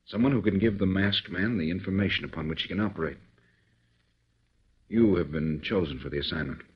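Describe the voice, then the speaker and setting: An actor playing a calm, authoritative male government chief giving measured instructions in a 1940s radio drama. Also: sits low, slightly rough. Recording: dull old radio recording.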